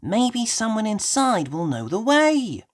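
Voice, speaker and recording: A woman's voice: a little high, sing-song, takes it slowly, pitched above the performer's own voice. A woman voicing a cheerful, imaginative little girl pig in a children's storybook, eager and sing-song. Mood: excited. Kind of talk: read-aloud children's storybook voice.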